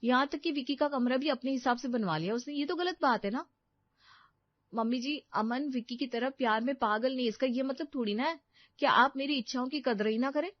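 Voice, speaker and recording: A woman's voice: sits high, a little fast, no echo. An aggrieved young daughter-in-law in a storybook drama , played by a woman, protesting in a raised, indignant voice. The recording is dry and slightly dull. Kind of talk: Hindi audio story acting.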